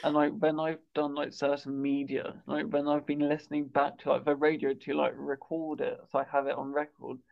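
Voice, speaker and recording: A man's voice: fairly high pitch, completely flat delivery, moderate pace, creaky, few pauses. A man with a stammer who often repeats words and says "like", hosting a conversation as a campaigner. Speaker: campaigner and podcast host. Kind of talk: conversational interview chat. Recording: dull lo-fi sound.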